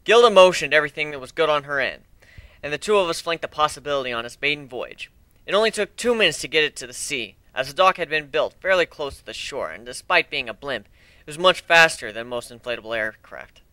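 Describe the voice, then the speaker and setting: A man's voice: fairly high pitch, expressive, fast talker, sharp tone, clean tone, no echo. A man giving an amateur dramatic reading of a first-person adventure story.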